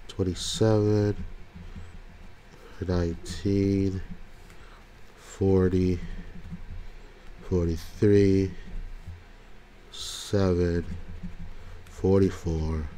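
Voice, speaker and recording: Slow, deep-voiced man with a head cold. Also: dark tone, a little hiss or hum. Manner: slow, calls out numbers one by one. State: congested from a cold. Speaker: vlogger scratching lottery tickets. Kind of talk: casual commentary while scratching tickets.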